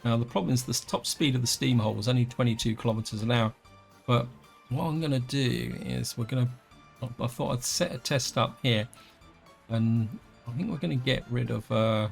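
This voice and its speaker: A man talks through his game in a loose, thinking-aloud way, often correcting himself mid-sentence. His voice is gravelly and slightly wheezy from an allergic reaction. Soft music plays faintly underneath. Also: mid-range voice. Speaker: let's play host. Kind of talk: casual gameplay commentary.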